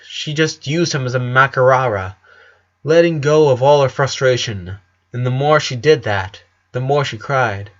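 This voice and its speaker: A man's voice: mid-range voice, fairly bright tone, dull audio. A man narrating a story in an earnest, dramatic audiobook reading. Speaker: narrator of a fan fiction story.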